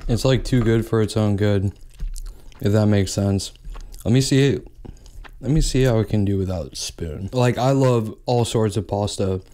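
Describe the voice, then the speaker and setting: Young male eating-video host, a low, warm voice, easygoing and unhurried, talking between mouthfuls on a close mic. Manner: relaxed, pauses between bites, frequent "like". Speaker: mukbang host. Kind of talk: casual eating chat, mukbang ASMR.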